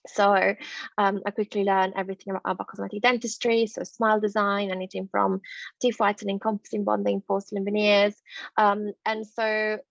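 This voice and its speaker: A woman's voice: medium pitch, little pitch movement, brisk, creaky, no room sound, lo-fi sound. A woman from northern Italy with an Italian accent, a dentist giving an online masterclass in a chatty way, full of fillers and restarts. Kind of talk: online presentation on a video call. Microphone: video call.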